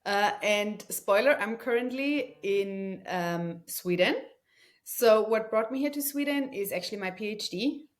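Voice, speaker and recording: A woman's voice: medium pitch, animated, unhurried. Woman from Germany, thirties, chatty podcast guest, lots of fillers. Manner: chatty, frequent fillers and restarts, enthusiastic. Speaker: guest, company founder. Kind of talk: casual conversational interview.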